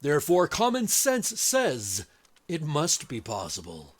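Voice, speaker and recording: A man's voice: on the high side, wildly swooping pitch, slow, exaggerated voice. A man playing an eccentric, self-assured doctor and rebel mentor in an audio drama, theatrical and triumphant.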